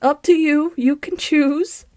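Woman performing a loving young girlfriend, roleplay audio, teasing, excitable, slow-paced, close and dry recording. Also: high-pitched, dry recording. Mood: playful, coaxing. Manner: bubbly, teasing, giggly, pleading.

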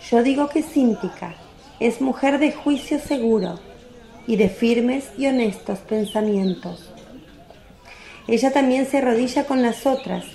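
A woman narrating a religious radio drama from a script, with a solemn, deliberate literary delivery. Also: on the high side, gravelly, dark tone, reverberant.

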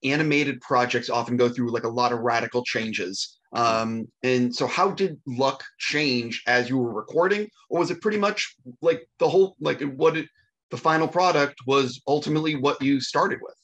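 Man, interviewer, video call, mid pitch, quick friendly questions, casual slang. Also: fairly bright tone.

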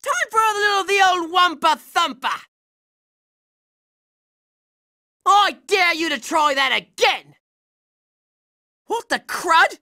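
A man's voice: very high-pitched, expressive, unhurried, sharp tone, dry recording, clean studio sound, exaggerated voice. A man voicing a brash, hot-headed young boy fighter in a cartoon video game, belligerent and exclamatory. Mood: combative.

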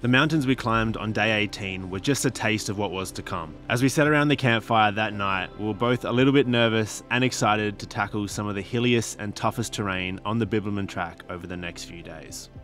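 A young man reading a calm, reflective voice-over narration of a journey. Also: lowish voice, fairly quick, hardly any pauses. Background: music.

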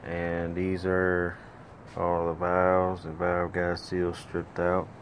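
Middle-aged home mechanic, deep unhurried voice, casual how-to talk on a phone mic. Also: male voice, monotone, slight background noise. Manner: plainspoken, rambling with many fillers. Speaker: home mechanic filming a rebuild. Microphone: handheld phone camera.

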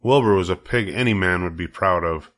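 Male children's audiobook reader, lowish pitch, measured and deliberate delivery. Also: a bit bright. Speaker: storybook reader. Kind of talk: audiobook narration of a children's story.

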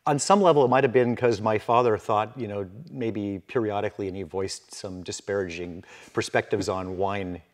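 A man in his early sixties with a very low, deep voice, chatting at length with many fillers and restarts. He grew up speaking Finnish first and sits at a table in a winery barrel room. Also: moderate pace, hardly any pauses. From Canada. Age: 60s. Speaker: winemaker guest. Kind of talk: relaxed interview conversation.

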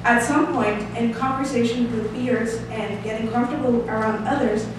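Brief: twenties woman, Costa Rican accent, slightly high voice, earnest read-out speech, echoey room with the mic some distance away. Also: fairly even intonation, normal speed, noisy background. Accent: Costa Rican Spanish. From Costa Rica. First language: Spanish. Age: 20s. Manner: earnest, reading aloud with hand gestures, light laughs. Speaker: student speaker. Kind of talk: prepared showcase speech read from paper. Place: small room, standing at a lectern. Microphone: stand mic at some distance.

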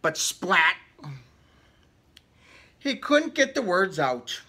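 A man's voice: high voice, sing-song, very slow, bright, forceful tone, slightly rough. A man reading a picture book aloud to children, theatrical, with casual questioning asides. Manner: theatrical, asks the young listeners questions. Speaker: children's story reader. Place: indoor room with book cut-outs.

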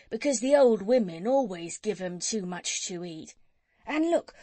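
A woman's voice: mid-range voice, a little mellow, dry recording. A woman voicing a folksy, unschooled male tradesman in a storybook, chatty and colloquial, with a scheming streak. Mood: coaxing. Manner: chatty, uneducated colloquial speech.

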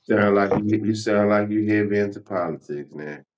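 A man's voice: on the low side, normal speed. A man interviewing in a casual, slangy way, often repeating his phrases. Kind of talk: casual chat.

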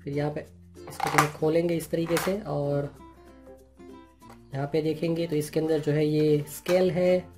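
A man's voice: on the high side, dark tone. A man hosting an unboxing and review, talking through each item in plain explanatory Hindi full of repeated "jo hai" fillers. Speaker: channel host reviewing products. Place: indoors at a table. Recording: clear music playing underneath.

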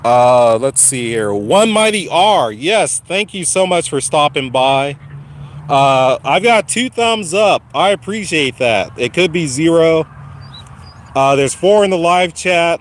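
Man, slightly raspy, casual encouraging live stream talk, phone mic outside, faint street noise. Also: fairly high pitch, lively intonation, a little slow. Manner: encouraging, repetitive, addresses viewers by name. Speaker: channel host. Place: outdoors on a lawn walking a dog. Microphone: phone held while walking.

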